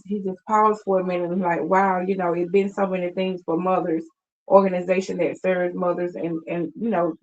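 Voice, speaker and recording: A woman's voice: lowish voice, a little mellow, dull audio. A woman guest on a radio talk show describing her outreach work, hesitant with many ums, plain and sincere. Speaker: guest, community outreach worker. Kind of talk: interview talk.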